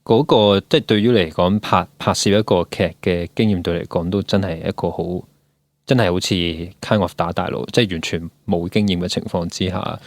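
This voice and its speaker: Male interviewer, Hong Kong accent, low voice, dry close sound, explanatory delivery peppered with English. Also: steady tone, fairly quick, no echo. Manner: explanatory, mixes English words into speech. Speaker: podcast host interviewing a guest. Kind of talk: conversational interview.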